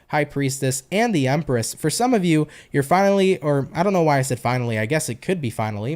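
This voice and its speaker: A man's voice: on the high side, darkish tone. A man from New York with a New York accent, giving a casual tarot reading in a chatty, slangy way and swearing casually. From New York, USA. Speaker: tarot reader, online host.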